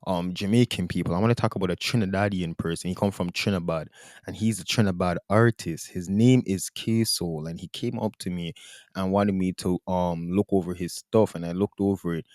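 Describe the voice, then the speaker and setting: A man's voice: low-pitched, steady tone, brisk, a little mellow, no echo, clean studio sound. A Canadian man hosting a podcast in a slangy, rambling casual monologue. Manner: slangy, rambling, plugs his business. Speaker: podcast host.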